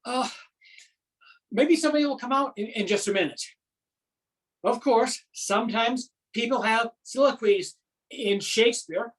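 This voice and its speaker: Woman doing a panicky accountant stuck onstage in a play, highish voice, nervous rambling, mic far away. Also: moderate pace, long pauses, natural voice. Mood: nervous, pleading. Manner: rambling, nervous, stammering asides. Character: flustered panicking accountant stranded onstage as a Shakespearean actor. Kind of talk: theatrical stage monologue.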